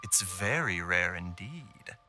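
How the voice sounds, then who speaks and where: A man's voice: low-pitched, lively intonation, slow, music in the background. A man playing an urbane, courteous, faintly sly pharmacist in a video game, with a polite, lilting way of talking. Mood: helpful, courteous.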